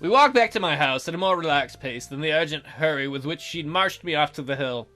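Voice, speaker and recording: Animated, bright-voiced woman game host. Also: low voice, fairly quick, faint music behind. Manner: playful, animated, jokey. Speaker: let's play host. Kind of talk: visual novel read-aloud with joking commentary.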